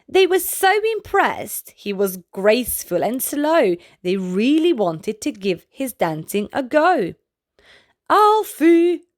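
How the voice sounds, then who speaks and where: A woman reading a children's bedtime story aloud in a gentle, expressive way. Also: mid-range voice.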